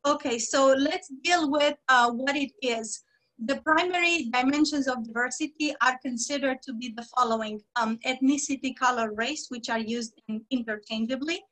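A woman from Romania, a guest on a podcast explaining her work as a diversity trainer in a measured way, with frequent pauses and fillers. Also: high-pitched, fairly bright tone, rough recording. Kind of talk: podcast interview.

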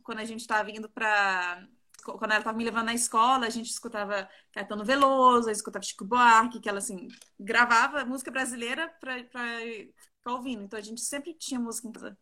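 A woman's voice: fairly high pitch, fairly even intonation, quick pace, bright, forceful tone. A woman, chatting casually and a little giggly as an interview guest on a video call. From Brazil, partly raised in South Korea. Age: 20s. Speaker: interview guest, professional flautist.

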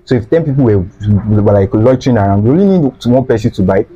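A man's voice: fairly low pitch, lively intonation, fast, dark tone, slightly rough, faint music behind. Earnest young Nigerian man, dull audio. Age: young adult. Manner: earnest, repeats phrases for emphasis. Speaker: guest, student sweet seller. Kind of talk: casual interview talk. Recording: slightly dull lo-fi sound.

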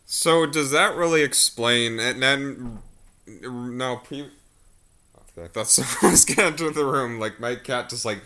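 A man's voice: medium pitch, unhurried, sharp tone. A man hosting a casual interview from his room at home over a video call, talking in a rambling way with frequent restarts and asides. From United States. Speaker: interviewer, host.

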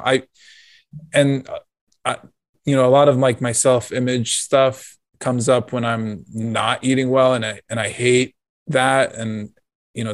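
A man's voice: mid-range voice, no room sound. Male co-host for casual podcast talk. Mid-range voice, dry, close-miked sound. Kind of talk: casual chat.

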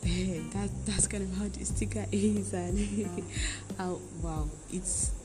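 A young woman hosting her own podcast late at night, talking softly and often whispering, earnest and grateful as she chats casually. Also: medium pitch, steady tone, takes it slowly, music in the background. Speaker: podcast host. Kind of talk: casual solo podcast chat.

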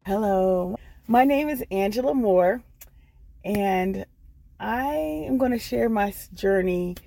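A woman's voice: medium pitch, expressive, slow pace, gravelly, dark tone, soft background music. A middle-aged American woman telling a personal story casually, hesitating and repeating phrases as she goes. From Washington, D.C. area, United States. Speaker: guest storyteller.

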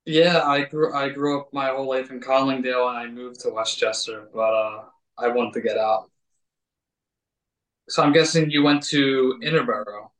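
A man's voice: mid-range voice, normal speed. Man, 30s, easygoing host, echoey room, off-mic. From Delaware County, Pennsylvania. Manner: relaxed, chatty, trails off mid-sentence. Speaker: podcast host. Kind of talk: casual interview chat. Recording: roomy, from a distance.

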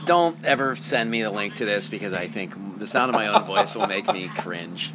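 A man's voice: lowish voice, big pitch swings, normal speed, gravelly, a loud crowd, rough recording. A man joking around as a guest in a casual podcast chat, teasing his friends. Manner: jokey, teasing. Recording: loud background noise with clicks.

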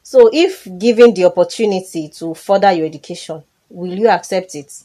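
A woman plays a kindly, composed older Nigerian madam in a radio drama. Her voice is lowish and mellow, her delivery gentle and measured. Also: lightly acted. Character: caring, composed, well-off older female benefactor.

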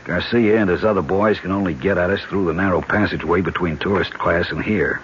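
A man's voice: sits low, fairly quick, fairly bright tone. An actor with an American accent playing a hard-bitten, cool-headed male government agent held hostage in a 1970s radio drama. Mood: terse, grim. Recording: muffled, noisy old radio broadcast recording.

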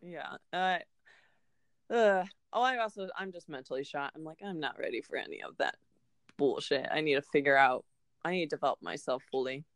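A woman's voice: sits low, fairly quick, dry recording. A woman in her early twenties talking casually and openly on a podcast, informal and outspoken, with frequent swearing. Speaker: podcast co-host. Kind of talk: casual, confessional podcast chat.

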